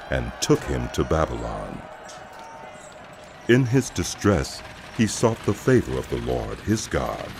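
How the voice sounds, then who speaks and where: A man narrating scripture for a dramatized audio production in a solemn, measured storytelling manner. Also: very deep voice. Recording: loud music behind the voice. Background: loud music.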